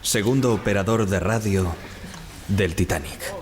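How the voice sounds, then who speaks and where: Low-voiced, respectful young radio operator, acted by a man. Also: measured pace, lightly acted. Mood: formal, restrained. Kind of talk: dramatized testimony in an audio drama.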